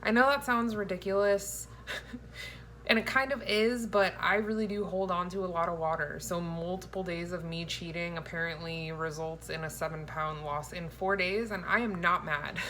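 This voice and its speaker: A woman's voice: fairly low pitch, fairly even intonation, normal speed, fairly bright tone. A woman in her thirties chatting to the camera in a casual, playful, giggly way, recorded on a phone in a home kitchen. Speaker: vlogger, host. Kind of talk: casual chat to camera, storytelling. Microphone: phone at arm's length. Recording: faint background noise, home phone recording.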